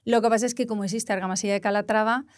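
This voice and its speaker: A woman in her forties from Castilla-La Mancha, a psychologist speaking in a relaxed interview, explaining with examples. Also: mid-range voice, racing. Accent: Spanish from Castilla-La Mancha. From Spain. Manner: reflective, explains with examples and rephrases. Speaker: psychologist guest. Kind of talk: conversational interview.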